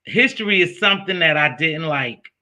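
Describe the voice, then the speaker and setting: A man's voice: fairly high pitch, animated, moderate pace, pushed and bright. A man hosting a casual interview, chatty, telling stories and asking questions. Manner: chatty, storytelling, asks questions. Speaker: interview host.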